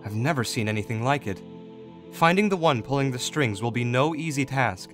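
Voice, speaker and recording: Weary god statesman played by a man, polite and restrained, audio drama with soft underscore. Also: mid-range voice, animated, fairly quick, soft background music, natural voice. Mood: grave. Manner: restrained, formal. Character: weary, diplomatic male god, measured and apologetic.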